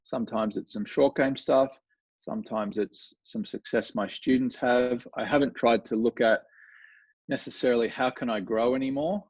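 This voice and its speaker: A man's voice: mid-range voice, moderate pace, slightly rough. An Australian man chats loosely as an interview guest, leaning on fillers and restarting his sentences. His voice sits in a middle register. The recording is dull and lo-fi, with no room echo. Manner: relaxed, rambling with many fillers and restarts. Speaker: golf coach, guest. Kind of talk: casual conversational interview.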